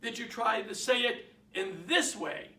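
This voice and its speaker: High, bright Boston man coaching salespeople. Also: unhurried, far from the mic. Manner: emphatic, repeats points, asks the audience questions. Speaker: real estate sales trainer. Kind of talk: motivational sales talk. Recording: roomy, from off the mic.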